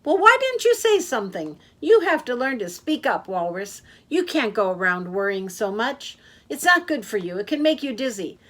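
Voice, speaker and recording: Woman playing a gabby male yak taxi driver, rambling, fast and high-pitched, storybook voice. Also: big pitch swings. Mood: chattering.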